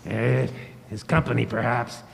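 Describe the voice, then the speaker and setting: A man's voice: mid-range voice, level tone, unhurried, gravelly. An actor playing a chuckling, callous businessman gossip in a theatrical 1940s radio drama. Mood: mocking. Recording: echoey hall, faint background noise.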